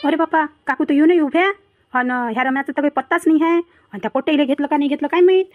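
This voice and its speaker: A woman's voice: high-pitched, very fast, no room sound, phone-quality sound, lightly acted. A woman voicing a mischievous, boastful village boy in a cartoon comedy, chattering and teasing in a Varhadi Marathi accent. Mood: muttering worry.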